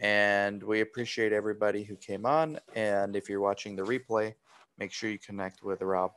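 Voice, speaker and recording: A man's voice: fairly low pitch, fairly even intonation, moderate pace, fairly bright tone. A man from Romania, raised in the US, moderating a webinar in an informal, chatty way with questions and thanks. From born in Romania, raised in the US from age three. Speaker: webinar host.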